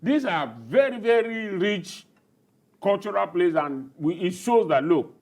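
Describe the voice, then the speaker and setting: A man's voice: high voice, expressive, measured pace, darkish tone. A middle-aged man with a Nigerian accent, talking emphatically and off the cuff in a panel discussion.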